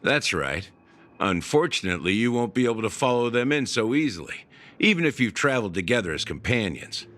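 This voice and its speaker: A man's voice: fairly low pitch, big pitch swings, a little fast, fairly bright tone. A man playing a wry, offhand, worldly companion in a video game. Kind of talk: video game dialogue acting. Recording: music behind.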